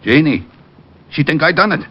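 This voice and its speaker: A man's voice: on the high side, big pitch swings, normal speed. A man playing a tough, uneducated, heavy-hearted gangster with blunt street talk in a radio drama. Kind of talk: 1940s-50s radio crime drama acting. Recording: muffled old radio recording.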